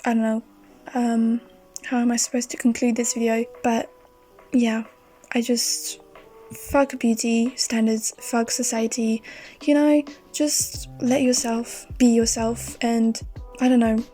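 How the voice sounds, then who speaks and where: Young woman, art vlogger voice-over; soft, mellow, fairly flat delivery, rambling and reflective. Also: fairly high pitch, takes it slowly, faint music behind. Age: young adult. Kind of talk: casual voice-over monologue.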